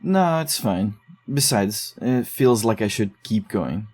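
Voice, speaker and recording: Investigator, horror audio drama, lowish pitch, very mellow tone, subdued, measured, low-key. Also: male voice, lively intonation, breathy edge, full character voice. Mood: casual, reassuring. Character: dogged, low-key man investigating psychiatric case files. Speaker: investigator digging through case notes.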